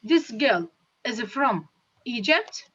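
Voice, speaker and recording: Woman, math teacher, questions her class, high-ish voice, lo-fi classroom sound. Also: sing-song, fairly bright tone, slightly rough. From Jordan. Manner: slow, repetitive questioning of students. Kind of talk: classroom lesson.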